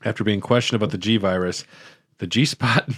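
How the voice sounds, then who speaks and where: A man's voice: on the low side, fairly even intonation, a little fast, a bit bright, breathy edge. A man co-hosting a comedy podcast, mixing a read-out recap with crude, joking interjections. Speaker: podcast co-host. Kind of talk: casual comedic recap and banter.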